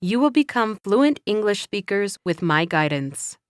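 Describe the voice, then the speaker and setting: Woman as an encouraging English teacher, smooth voice, dry sound, careful instructive delivery. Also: medium pitch, sing-song, measured pace, dry recording. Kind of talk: scripted classroom dialogue.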